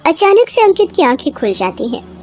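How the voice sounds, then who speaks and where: A woman's voice: very high voice, big pitch swings, brisk, music in the background. A woman storyteller reading a scripted horror bedtime story. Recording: clear background ambience noise.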